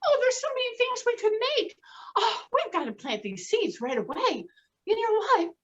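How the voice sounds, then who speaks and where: A woman voicing an excited, bubbly mother hen with a squealing, gushing manner, in a slightly dull lo-fi recording. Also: extremely high pitch, normal speed, voice pushed up.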